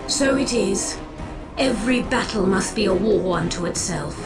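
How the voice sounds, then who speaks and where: An actress playing a solemn, commanding alien war seer in a video game, speaking gravely and formally with theatrical weight. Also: female voice, mid-range voice, expressive, normal speed, music in the background, close to an everyday voice. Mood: rallying, solemn. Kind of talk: dramatic video game voice acting.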